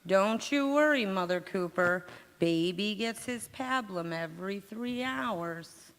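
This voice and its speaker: A woman's voice: medium pitch, expressive, slow, fairly bright tone. A woman playing a feisty, sarcastic housewife in 1940s radio comedy style, with dry, wisecracking retorts. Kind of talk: 1940s radio comedy acting, staged revival. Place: community theatre stage in a church. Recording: echoey hall, long reverb.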